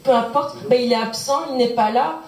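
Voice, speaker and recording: A woman's voice: fairly high pitch, brisk, a little mellow. A woman from Belgium, asking an earnest, searching question from the audience at a public lecture and circling back on her words. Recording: echoey conference hall.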